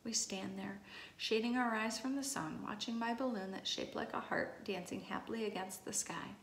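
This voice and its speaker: A woman reading a children's picture book aloud in an expressive way. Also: medium pitch, moderate pace. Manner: expressive, acting out exclamations and dialogue. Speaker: storybook reader. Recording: echoey room, clear background noise.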